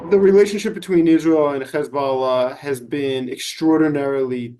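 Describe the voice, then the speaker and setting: A man giving formal, measured analytical commentary as an expert interviewee. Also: on the high side, dark tone.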